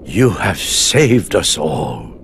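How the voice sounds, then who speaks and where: An actor playing a wise old Chinese emperor, formal, measured and solemn, in a video game. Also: male voice, sits low, very animated, creaky, a little hiss or hum, exaggerated voice. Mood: gracious, warm. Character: stately, wise old male Chinese emperor, stern yet kind. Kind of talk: scripted video game cutscene acting.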